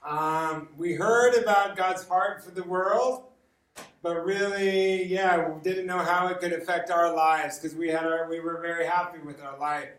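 A man's voice: a little high, steady tone, unhurried, fairly bright tone. An American man giving an informal sermon with personal stories, conversational and repeating words for emphasis. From Los Angeles, United States. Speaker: guest preacher. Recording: roomy echo, distant from the mic.

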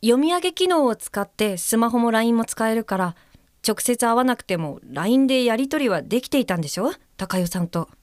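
Female actor as considerate guide helper, slightly high voice, polite, careful, observant, audio drama, dry sound. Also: animated, fairly quick, a little mellow, no room sound, natural voice. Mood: considerate, probing. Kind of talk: Japanese audio drama acting, reading aloud and describing.

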